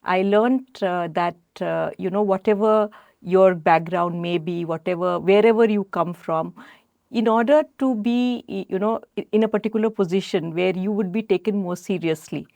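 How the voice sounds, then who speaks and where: A woman's voice: medium pitch, creaky, dark tone, no room sound. A middle-aged woman from Odisha with an Indian English accent, a college principal speaking thoughtfully as a podcast guest. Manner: thoughtful, articulate, measured. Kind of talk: podcast interview.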